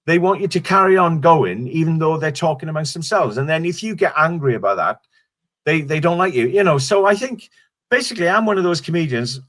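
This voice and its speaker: A man's voice: on the high side, a bit bright. Welsh man, rambling comedian. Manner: rambling, restarts sentences, swears casually. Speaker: stand-up comedian guest. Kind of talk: casual interview chat.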